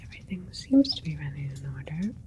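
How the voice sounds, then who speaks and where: A woman playing a calm, clinical alien scientist in a sci-fi ASMR roleplay, speaking in a soft, breathy whisper with a gentle, methodical manner. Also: low voice, fairly quick, slight background noise. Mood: attentive, clinical. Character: calm, clinical alien scientist doctor examining their creation.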